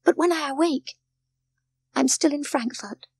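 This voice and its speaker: A woman voicing a gentle, homesick little girl in a storybook, speaking in an earnest, hesitant way. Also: high voice, measured pace, gravelly, no echo, voice pushed up. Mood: wistful, homesick.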